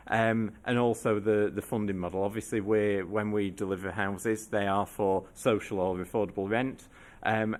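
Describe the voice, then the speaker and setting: A man briefing a council committee in a measured, formal way, pausing and restarting often. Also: lowish voice. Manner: measured, halting, frequent restarts. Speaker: council officer.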